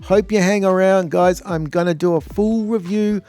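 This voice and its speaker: A man with an Australian accent and a notably high voice narrates a gameplay tutorial. His delivery is informal and blokey, with a joking streak. Soft music plays underneath. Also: fairly even intonation, normal speed. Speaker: video host.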